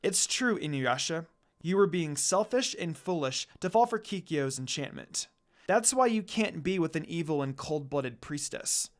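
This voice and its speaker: Man doing young woman, gentle, outspoken, soft, earnest, animated intonation, storybook reading. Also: a little high, normal speed.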